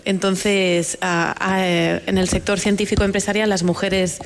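A woman's voice: medium pitch, little pitch movement, unhurried, slight background noise. A woman with a Spanish accent from Spain, explaining things plainly and informally as an interview guest. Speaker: scientist entrepreneur, award-winning guest.

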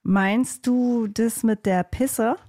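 A woman's voice: fairly high pitch, fairly even intonation, extremely slow, mellow, no room sound, studio quality. A woman co-hosting a podcast in casual banter, blunt and teasing. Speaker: podcast co-host, radio presenter.

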